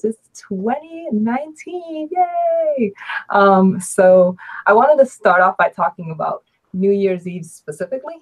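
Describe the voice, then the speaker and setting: A woman in her early thirties hosting a casual live stream, relaxed and filling pauses with ums. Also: medium pitch, low-quality recording. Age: 30s. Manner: relaxed, hesitant with frequent ums. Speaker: host and adult advisor.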